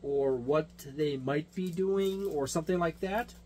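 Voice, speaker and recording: A man with a somewhat high-pitched voice talks through an unscripted product overview. He explains things step by step in a rambling way, often circling back to rephrase or repeat a point, in the manner of a hobby game reviewer. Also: measured pace, warm tone, slight background noise. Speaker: tabletop game reviewer and game master.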